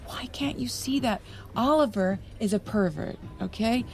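A woman's voice: a little high, measured pace, soft background music. A woman playing a judgmental, bossy friend in an audio drama, with a scolding, insistent manner. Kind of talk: naturalistic audio drama acting.

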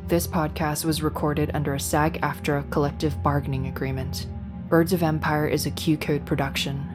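A woman's voice: deep voice, level tone, moderate pace. A woman reading scripted credits as an announcer in a formal, matter-of-fact manner. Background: prominent music.

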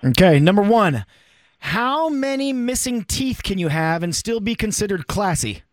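A man's voice: high-pitched, sing-song, moderate pace, no echo. A man hosting a casual radio game, reading out quiz questions. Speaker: radio show host, quizmaster. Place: dry radio studio.

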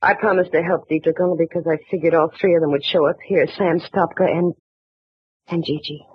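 An actress playing a weary, rueful young woman tangled up with crooks, in 1940s radio acting style, with a husky voice. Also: fairly low pitch, steady tone, fairly quick, no room sound. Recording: dull, dry old radio recording.

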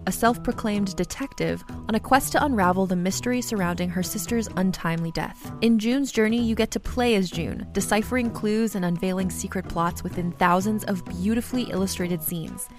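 A woman's voice: mid-range voice, a little fast, a little mellow. Upbeat woman reading an ad over faint music. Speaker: podcast host reading ads. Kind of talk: read ad copy.

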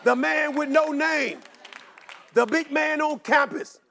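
Male preacher, very high voice, slow and deliberate, chanting repeated lists and building to shouts. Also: creaky, some chatter behind, slight background noise. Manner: repeats one call in long lists. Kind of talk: sermon.

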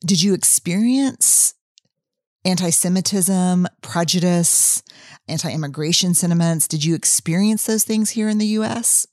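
Low-voiced woman host, slow and measured. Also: dry recording, studio quality. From Texas. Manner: gentle, thoughtful, measured questioning. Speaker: podcast host. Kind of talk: interview questions.

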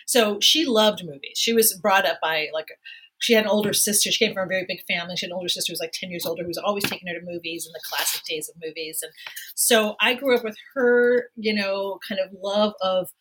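Bright-voiced middle-aged woman, chatty film critic. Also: medium pitch, steady tone, fast talker, slightly rough, poor audio. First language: Spanish. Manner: chatty, reflective, laughs often. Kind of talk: casual interview chat.

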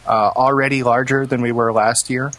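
A man's voice: mid-range voice, flat delivery, normal speed, fairly bright tone, dry recording. A man co-hosting a podcast, telling stories casually in a dry, sarcastic way. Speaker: podcast co-host. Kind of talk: casual chat and storytelling.

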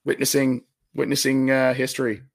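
A man hosting a sports podcast, hoarse with a scratchy throat, walking through a box score with halting restarts and excited spikes on big numbers. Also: medium pitch. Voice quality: raspy. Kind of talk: postgame stat breakdown.

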